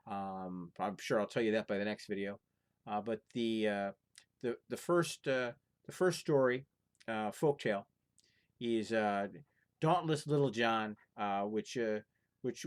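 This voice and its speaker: A man telling folktales as an informal storyteller, moving between casual chat and narration. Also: fairly low pitch.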